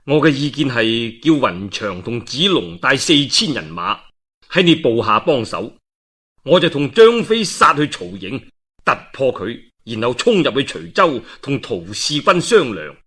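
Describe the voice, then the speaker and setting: Guy doing a humble righteous warlord in an old war tale, formal n earnest, husky, lots of pitch movement. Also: very animated, unhurried, fairly bright tone, exaggerated voice. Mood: earnest counsel.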